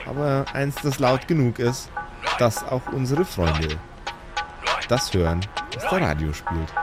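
A man narrating and ruling a live improvised tabletop game as game master, in a vivid storytelling manner. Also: fairly low pitch.

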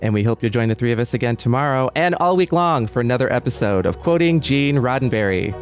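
A man's voice: mid-range voice, lively intonation, quick pace, faint music behind. A man co-hosting a podcast in a casual, conversational way. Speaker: podcast co-host. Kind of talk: casual podcast talk and sign-off announcements.

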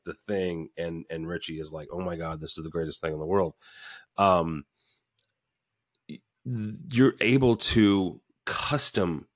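A man's voice: sits low, no echo, clean studio sound. A man with a Midwestern American accent hosting a casual panel talk, rambling with many restarts and fillers. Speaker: fill-in podcast host.

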